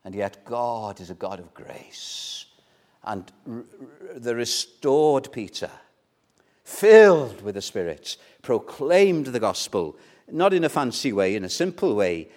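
An older pastor from Wales who learned Welsh first, preaching with a lively, rising and falling pitch in a mid register. He asks his listeners question after question and repeats key words. His voice swells and then sinks to a hush. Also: takes it slowly. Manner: loudness rises and falls widely, dropping to near whispers. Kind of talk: sermon.

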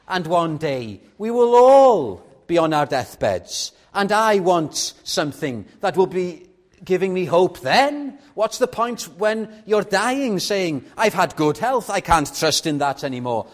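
A man with a Welsh accent, a native Welsh speaker, preaching a sermon in a measured way and putting rhetorical questions to his congregation. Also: fairly high pitch. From Wales.

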